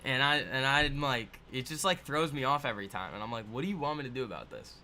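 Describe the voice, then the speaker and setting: Young guy in his 20s rambling to chat, laughs a lot, bright sharp tone. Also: medium pitch, lively intonation, fast, slight background noise. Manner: rambling, laughing, talks to viewers as "guys". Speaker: trading livestream host. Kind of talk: casual livestream chat reading viewer comments.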